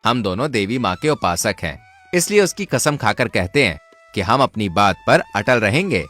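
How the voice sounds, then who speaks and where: A man playing a scheming village swindler in a Hindi folk tale, expressive and theatrical. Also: fairly low pitch, moderate pace, soft background music. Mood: crafty, assured.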